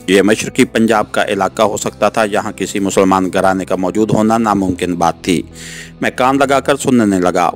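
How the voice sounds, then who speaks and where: A man reading a novel aloud in the first person as a scripted audiobook narration. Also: fairly low pitch. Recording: music playing behind the voice. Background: background music.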